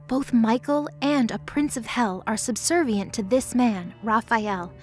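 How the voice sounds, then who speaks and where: A woman's voice: fairly high pitch, a little slow, soft background music. A woman reading scripted third-person narration for a fantasy audio drama.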